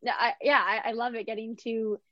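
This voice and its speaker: A woman's voice: on the high side, level tone, moderate pace, no room sound, muffled recording. Chatty upbeat woman from Vancouver. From Canada, Vancouver. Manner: chatty and upbeat, heavy use of "like" and restarts. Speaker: actress being interviewed. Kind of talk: casual interview answers.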